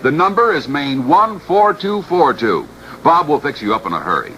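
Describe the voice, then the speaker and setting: A man's voice: fairly high pitch, very animated, normal speed, sharp tone, dull audio. A man with an American accent reading a scripted 1960s radio advertisement as a commercial announcer. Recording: old radio broadcast, faint noise.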